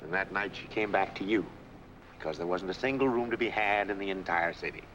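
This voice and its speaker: A man's voice: a little fast, a bit bright, muffled recording. An actor playing a cynical, worldly older man with a sardonic, needling manner in a 1940s Hollywood film. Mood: sardonic, skeptical. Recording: old film soundtrack, vehicle-like rumble.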